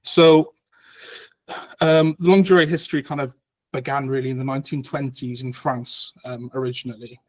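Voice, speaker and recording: A man's voice: mid-range voice, fairly even intonation, normal speed. Man, a lecturer, full of ums. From Cardiff, Wales. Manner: frequent ums, patient and explanatory. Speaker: guest academic, lecturer. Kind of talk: conversational explanation.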